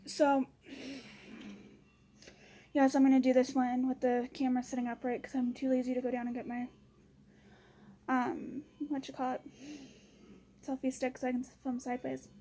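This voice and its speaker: A woman with a notably high voice and a soft, mellow tone talks as if venting to her followers. She is exasperated and blunt, and keeps it casual and full of slang. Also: monotone, normal speed, long pauses, slight background noise. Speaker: vlogger.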